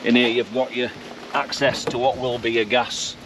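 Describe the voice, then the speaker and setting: A man's voice: medium pitch, little pitch movement, normal speed. A man with a Barnsley accent, showing his motorhome in a plain, matter-of-fact way. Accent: Barnsley, Yorkshire. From Yorkshire, England. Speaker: motorhome owner. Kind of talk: casual walkaround tour. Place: outdoors at a campsite. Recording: distant voice, wind and outdoor noise.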